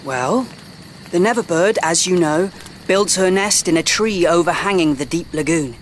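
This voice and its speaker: An actor playing a confident, boastful boy leader, telling tales briskly in an audio drama. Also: mid-range voice, animated, a little hiss or hum, lightly acted. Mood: storytelling.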